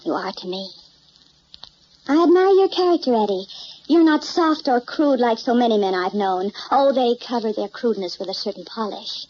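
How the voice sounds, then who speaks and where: An actress playing a poised, refined woman with measured, direct speech in a radio drama. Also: on the high side, lively intonation, darkish tone, natural voice. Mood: tender, composed. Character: poised, refined, self-possessed woman in love story. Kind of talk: old-time radio drama acting. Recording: dull, hissy old radio recording.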